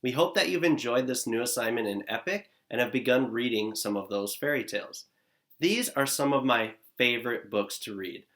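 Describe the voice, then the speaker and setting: Upbeat teacher man, lively pitch. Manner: upbeat, encouraging, speaking straight to children. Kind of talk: recorded lesson for young children. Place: living room at home, indoors. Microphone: camera at desk distance, seated facing it.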